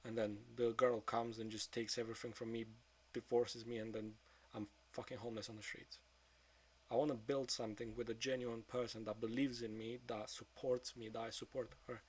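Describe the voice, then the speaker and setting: A man of twenty-two speaking in a frank, subdued confessional monologue, swearing often and trailing off into quieter mutters. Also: medium pitch, little pitch movement, normal speed, breathy edge. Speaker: vlogger.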